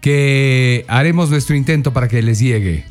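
A man's voice: medium pitch, normal speed, faint music behind. A man with an Ecuadorian accent co-hosting a radio show, joking and enthusiastic. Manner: lively, jokey, enthusiastic. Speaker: radio co-host. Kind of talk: casual on-air chat.

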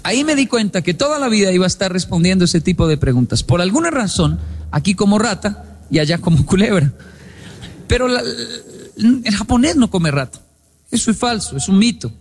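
High-voiced man telling stories. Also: animated, a little fast, in a large hall, slight background noise. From Colombia. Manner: humorous, acts out quoted dialogue. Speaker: public speaker. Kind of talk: storytelling stage talk.

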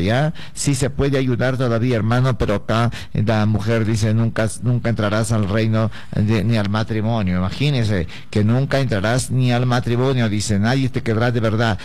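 A man's voice: mid-range voice, darkish tone. A man giving live psychic consultations to listeners, speaking in an emphatic, repetitive, preacher-like way. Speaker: radio psychic answering letters.

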